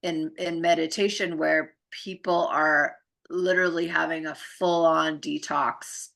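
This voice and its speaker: A woman's voice: deep voice, fairly even intonation, unhurried, gravelly, fairly bright tone. A woman explaining things in casual conversation, in an earnest, informal, rambling way with frequent check-in phrases.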